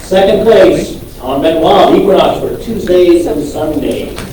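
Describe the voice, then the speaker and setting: High-voiced man reading awards, distant. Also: animated, a little slow. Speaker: awards presenter. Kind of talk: reading award winners at a banquet. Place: large banquet hall. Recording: echoey hall from far off, loud noise.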